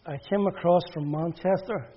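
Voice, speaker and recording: A man in his sixties from Northern Ireland, answering as a guest in a relaxed interview. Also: sits high, normal speed, husky, dull audio. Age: 60s.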